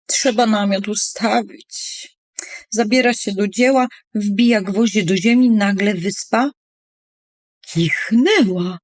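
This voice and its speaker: A woman's voice: medium pitch, fairly even intonation, unhurried, no room sound. A woman storyteller reading a children's poem expressively, with playful, dramatic emphasis on words.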